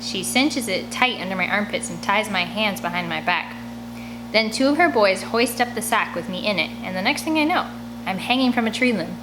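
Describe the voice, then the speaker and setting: A woman narrates a children's audiobook in the first person, reading expressively at a medium pitch. The home recording carries clear background noise. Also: expressive, moderate pace. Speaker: audiobook reader. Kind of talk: expressive first-person story read-aloud.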